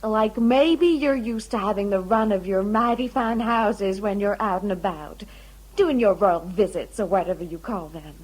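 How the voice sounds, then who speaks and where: An actress playing a syrupy, condescending, folksy mistress of the house in an audio drama. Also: female voice, mid-range voice, normal speed, a little mellow, close to an everyday voice, poor audio. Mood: sweetly threatening.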